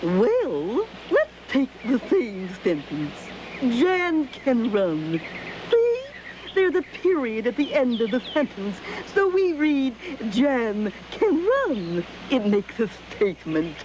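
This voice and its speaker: Excitable, wildly swooping little creature. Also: female voice, a little high, takes it slowly, heavily acted. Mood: helpful, excited. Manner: explanatory, dramatically emphatic. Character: talking exclamation mark, excitable, helpful little creature. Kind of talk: children's educational puppet show acting. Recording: noisy old 1970s TV soundtrack.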